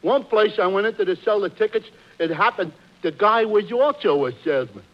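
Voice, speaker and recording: An actor playing a dopey, earnest, slow-witted man in an old radio comedy. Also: sits high, expressive. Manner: dopey, plodding. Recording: muffled vintage radio recording.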